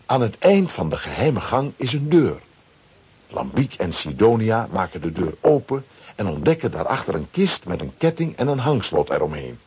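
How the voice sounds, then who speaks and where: A man narrates a children's adventure story from a script. His voice is on the low side and very husky, with animated, dramatic swings in intonation. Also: moderate pace.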